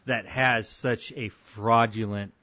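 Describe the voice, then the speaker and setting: A man's voice: fairly low pitch, steady tone, slow, sharp tone. A man in his thirties hosting a podcast, with casual chat and dry, teasing humor. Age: 30s. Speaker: podcast host.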